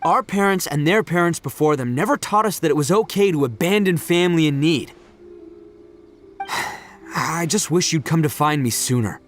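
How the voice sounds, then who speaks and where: A man's voice: fairly high pitch, lively intonation, moderate pace, lightly acted. A man voicing a brash, big-hearted young oni gang leader in a video game, in a boisterous, slangy, easygoing manner. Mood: sincere. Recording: music under the voice.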